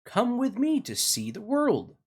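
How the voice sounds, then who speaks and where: Man putting on a high, eager storybook rooster. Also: wildly swooping pitch, measured pace, slightly rough, full character voice. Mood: eager, inviting. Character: friendly, lonely rooster eager to see the world.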